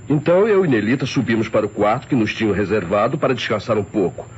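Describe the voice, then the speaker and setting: A man playing a sensible Brazilian husband in a vintage radio mystery, explaining formally. He talks quickly with lively intonation. The recording is dull, with light noise. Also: lowish voice, close to an everyday voice. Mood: matter-of-fact. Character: level-headed husband giving witness testimony. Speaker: actor in a radio mystery serial. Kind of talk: old Brazilian radio drama acting. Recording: muffled, noisy old radio recording.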